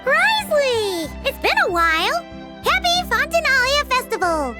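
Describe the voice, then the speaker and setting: A woman voicing a small, childlike fairy companion in a game, squeaky, expressive and prone to exclaiming. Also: measured pace, over loud music, full character voice. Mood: excited, surprised. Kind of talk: animated game character acting.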